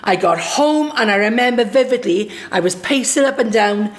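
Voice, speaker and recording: A woman from Swansea with a Welsh accent, a singer and songwriter recounting a personal story in a lively, anecdotal way. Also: on the high side, moderate pace, a little hiss or hum. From Swansea, Wales. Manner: lively anecdotal, acts out quoted dialogue.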